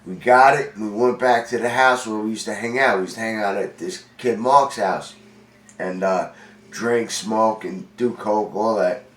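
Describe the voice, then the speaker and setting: A man's voice: lowish voice, moderate pace. Congested man, far from the mic. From Long Island, New York. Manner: rambling, profane, streetwise. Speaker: vlogger. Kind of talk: casual storytelling monologue. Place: indoor room with a window. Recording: roomy, distant from mic.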